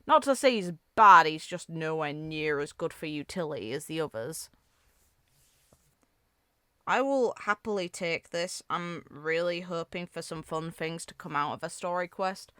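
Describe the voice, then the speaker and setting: A woman reacting to video games in a casual, conversational way. Her voice is smooth and a touch low, recorded dry. She muses aloud, weighing her thoughts as she talks. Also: expressive, unhurried, a bit bright, dry recording. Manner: musing, hedging, conversational. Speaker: video game reaction creator.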